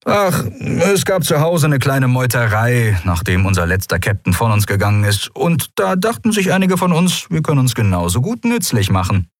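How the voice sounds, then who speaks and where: A man voicing a cocky young male pirate who talks in a smug, teasing way, with a husky voice. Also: mid-range voice, sing-song, normal speed, hardly any pauses, no echo, clean studio sound, voice pushed up. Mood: breezy.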